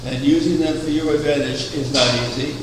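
An older man preaching a sermon from a pulpit, measured and anecdotal, asking rhetorical questions. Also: fairly high pitch, steady tone. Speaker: preacher, founder of a religious order. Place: chapel, at a wooden pulpit. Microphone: far camera. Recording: echoey chapel from far off, air conditioning hum.

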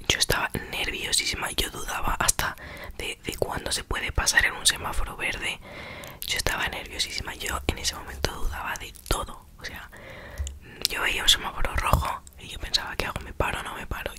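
Whispering young woman telling a story. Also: bright, forceful tone. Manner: chatty, self-mocking, acts out quoted exchanges.

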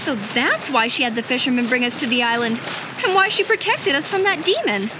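An earnest schoolgirl in an anime game. Her voice is very high and lively, full of wondering questions, over clear background noise. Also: fairly quick. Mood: puzzled, doubtful. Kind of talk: anime video game voice acting.

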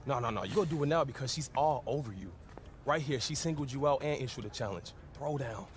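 Hyped young American teammate played by an actor. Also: male voice, medium pitch, lively intonation, fast talker, a little hiss or hum, natural voice. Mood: insistent. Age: young adult. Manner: slangy, emphatic. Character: young male college basketball teammate, hyped, insistent. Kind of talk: video game cutscene dialogue.

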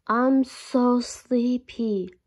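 A woman voicing a sleepy, lazy male bear in a picture-book read-aloud, speaking in a slow, drowsy, yawning drawl. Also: on the high side, steady tone, darkish tone.

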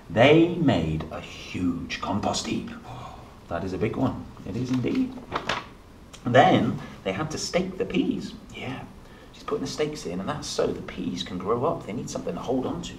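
Lively man, unhurried children's storyteller. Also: mid-range voice. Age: 30s. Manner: playful, expressive, addressing young children. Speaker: storyteller, host reading children's books. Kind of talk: animated picture-book reading with chatty asides. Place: indoor room, seated at a table. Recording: roomy echo, some background noise.

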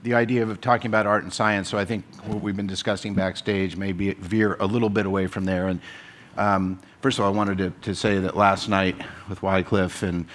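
A man's voice: deep voice, little pitch movement, a little fast, fairly bright tone. A man moderating a conference panel, speaking hesitantly with many fillers. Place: conference stage with an audience.

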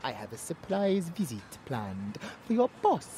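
Casting: smug, genteel vampire club owner; theatrical, unhurried, hugely swooping delivery, noisy ambience behind. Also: male voice, on the high side, huge pitch swings, warm tone. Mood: condescending, playful. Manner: theatrical, purring, condescending. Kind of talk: audio drama acting.

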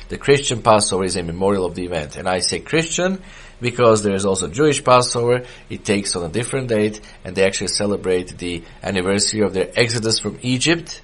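A middle-aged man whose first language is Serbian, giving a measured sermon with frequent pauses and fillers, quoting scripture in a small church. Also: on the low side, fairly bright tone. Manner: measured, hesitant with many fillers. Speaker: preacher. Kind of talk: sermon reading and explaining quotes. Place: small church with wooden wall.

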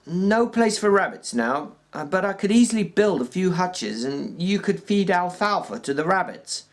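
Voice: animated, normal speed, lightly acted. A reader putting on a drawling, dreamy ranch hand. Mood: wistful. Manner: raised pitch, stumbles and fillers. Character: plainspoken ranch worker man dreaming aloud. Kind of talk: audiobook reading.

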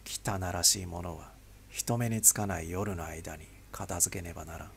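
A man voicing a grim, weary spy boss who speaks tersely and heavily in a gravelly, husky voice. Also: low voice, normal speed, a bit bright, a little hiss or hum, voice pushed down. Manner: heavy, somber, terse.

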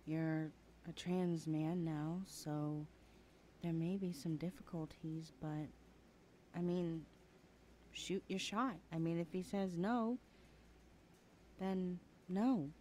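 A woman's voice: lowish voice, steady tone, takes it slowly, long pauses, warm tone, a little hiss or hum. A woman playing a chatty, teasing young adult best friend in a casual, hesitant ASMR roleplay. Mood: coaxing, teasing. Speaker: voice actor in audio roleplay. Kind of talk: ASMR roleplay, chatty one-sided conversation.

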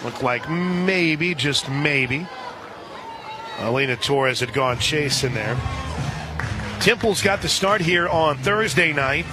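A man calling live softball play-by-play on the radio, with sponsor reads mixed in. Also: a little high, animated, takes it slowly, pauses often. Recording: crowd noise and music behind commentary. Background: stadium crowd and music.

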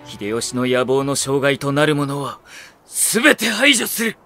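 A man's voice: medium pitch, sing-song, unhurried, loud music behind the voice. A man playing a cool, condescending military strategist with refined, superior diction in an audio drama. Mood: haughty. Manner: soft-spoken condescension, commanding shouts. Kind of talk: Japanese anime-style audio drama acting.

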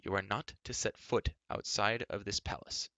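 An earnest young adventurer of a storybook, voiced by a man, speaking in a bright, forceful tone. He is grave and firm, with an anxious, pleading edge, and the sound is close and dry. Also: lowish voice, a little fast, dry recording. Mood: angry. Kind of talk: acted audiobook dialogue.

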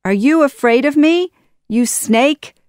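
A woman voicing a brave, quick-witted young male hero in a storybook, bold and urgent. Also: mid-range voice, a little mellow, no echo, clean studio sound. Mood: urgent, defiant.

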